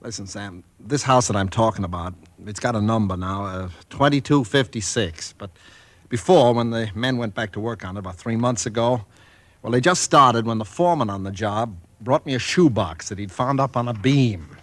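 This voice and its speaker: An actor playing a nervous real estate agent in a 1940s radio drama, hesitant and stammering. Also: male voice, on the low side, normal speed, no room sound, close to an everyday voice. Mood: worried.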